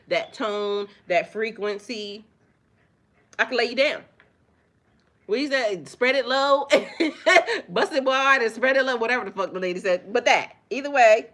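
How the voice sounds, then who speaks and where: A loud, animated woman leads her own spiritual reading vlog. She talks directly to her viewers, swears freely, and breaks into laughter often. Her voice sits at an ordinary middle pitch. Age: adult with children. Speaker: spiritual reader and channel host.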